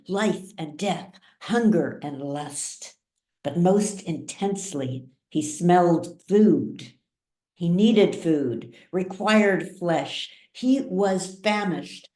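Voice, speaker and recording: A woman reading a novel aloud as dramatic scripted narration, with deliberate phrasing. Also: sits low, darkish tone. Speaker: story reader. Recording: echoey room.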